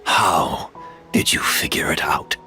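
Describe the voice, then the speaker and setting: A man's voice: sharp tone, faint music behind. An actor playing a dying master perfumer, tearful and wistful, his voice breaking and sinking to whispers. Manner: slow, halting, drops to whispers. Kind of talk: game character dialogue.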